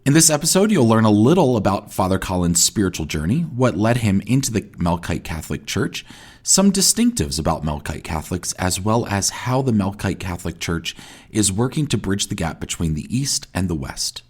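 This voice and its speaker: Male podcast host, low and animated, friendly interviewer. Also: normal speed, husky. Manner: friendly, lively questioning. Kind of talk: interviewing, scripted intro.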